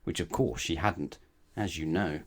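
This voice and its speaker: A man reading a children's bedtime story aloud in an expressive storytelling style. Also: low-pitched, unhurried. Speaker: storybook reader.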